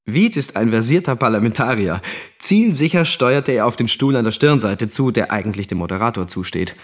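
A man's voice: mid-range voice, lively intonation, brisk, natural voice. A man playing an observant, mildly mocking witness, narrating matter-of-factly in a radio drama. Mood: wry.

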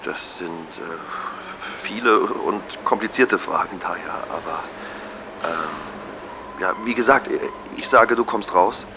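An actor playing a placating, evasive middle-aged family friend in an audio drama, speaking haltingly. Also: male voice, low voice, background noise. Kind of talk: naturalistic audio drama dialogue.

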